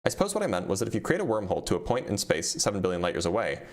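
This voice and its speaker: A man with a fairly low voice hosts a casual livestream Q&A on a streaming mic. He reads each question out, then answers in a plain, matter-of-fact way full of ums. His voice is starting to wear out. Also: huge pitch swings, rapid-fire. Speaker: physicist answering viewer questions.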